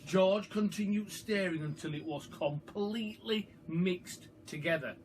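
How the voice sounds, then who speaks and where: A man reading a children's story aloud as a storyteller, in an expressive, dramatic and emphatic manner. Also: high-pitched, measured pace. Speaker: storyteller, primary school teacher. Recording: far from the mic, faint background noise.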